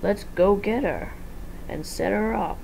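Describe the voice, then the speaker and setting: A woman's voice: medium pitch, a little slow, gravelly, darkish tone, slight background noise, voice pushed up, poor audio. A woman reading the part of an upbeat, friendly engineer in a chatty, businesslike way for a fan story.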